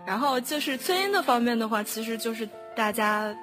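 A woman's voice: fairly high pitch, lively intonation, fairly quick. A woman chatting casually, rambling a little and correcting herself as she goes. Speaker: audio drama producer. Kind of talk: casual chat. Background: background music.

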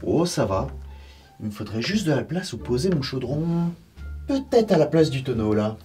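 A young actor playing a naive, defensive medieval alchemist in a comedy sketch, recorded on a stone cellar set. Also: male voice, medium pitch, big pitch swings, moderate pace, mellow, soft background music, lightly acted. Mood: hopeful. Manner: indignant, mocking whine when annoyed.

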